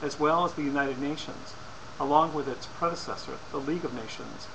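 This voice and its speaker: A man reading a scripted persuasive lecture in a formal, deliberate way, occasionally stumbling over words. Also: a little high. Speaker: presenter. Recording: clearly noisy amateur recording.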